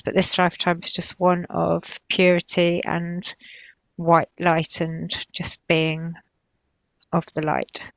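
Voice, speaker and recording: A woman's voice: fairly low pitch, no echo, lo-fi sound. A woman narrating spontaneously in a guided trance session, hesitant and drifting as she searches for words. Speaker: client recounting past-life visions in a guided session.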